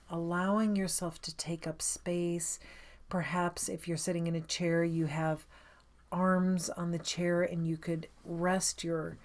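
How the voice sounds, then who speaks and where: Woman, meditation guide, low pitch, very slow pace, soothing, gently inviting instruction.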